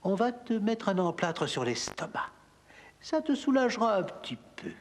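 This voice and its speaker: Confident, husky ancient physician. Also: male voice, sits high, big pitch swings, normal speed. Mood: self-assured. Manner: confident, frank. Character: self-assured, blunt ancient physician.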